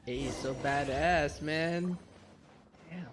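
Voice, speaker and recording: A man giving casual, slangy, excitable reaction commentary as he plays a video game. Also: a little high, takes it slowly, over music. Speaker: gameplay streamer. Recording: clear background noise, game sound.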